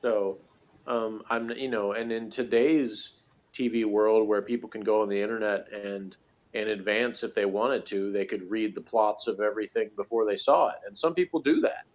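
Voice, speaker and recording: A man's voice: lowish voice, moderate pace, dull audio. A man co-hosting a TV review show in casual discussion, explaining things in a rambling way.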